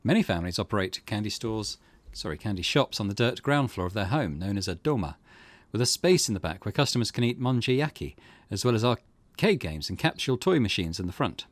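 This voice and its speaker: A man's voice: lowish voice, sing-song, brisk, few pauses, no echo. A man hosting a gaming video, reading out a visual novel as narrator. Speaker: gaming host.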